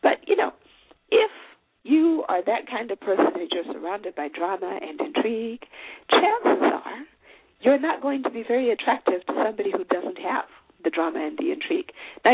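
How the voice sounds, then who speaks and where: A woman from Zimbabwe hosting a show, giving dating advice in an earnest, conversational way with many fillers. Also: fairly high pitch. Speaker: show host. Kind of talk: solo advice talk. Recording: phone-like line with faint noise.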